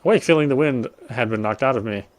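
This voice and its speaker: A man's voice: mid-range voice, fast talker, a little hiss or hum. A man doing casual let's play commentary and reading a game's story text aloud. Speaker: game streamer. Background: game music.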